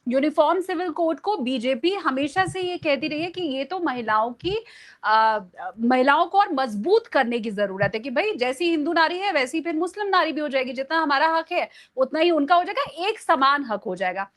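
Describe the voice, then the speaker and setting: A woman's voice: high-pitched, expressive, normal speed, fairly bright tone. A woman giving conversational political commentary, emphatic and explanatory, repeating phrases for stress. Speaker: journalist guest on a discussion show.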